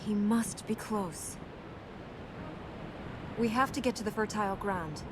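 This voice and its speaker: A woman playing a solemn, resolute young princess in a video game, speaking in an earnest, measured, matter-of-fact way. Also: lightly acted. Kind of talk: natural video game character acting. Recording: game capture with rumbling background noise.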